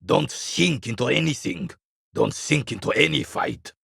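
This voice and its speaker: An actor playing a bitter, proud old war veteran in a video game, speaking with a gravelly rasp and curt, scornful disdain. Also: male voice, mid-range voice, a little slow, pushed and bright, dry recording, clean studio sound, exaggerated voice. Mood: scornful, indignant. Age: older. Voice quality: gravelly, raspy. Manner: curt, scornful, dismissive, old-soldier pomp. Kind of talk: video game character dialogue.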